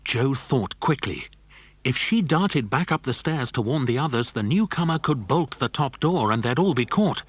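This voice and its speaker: A man's voice: medium pitch, expressive, moderate pace, slightly rough. A man narrating a scripted children's adventure story.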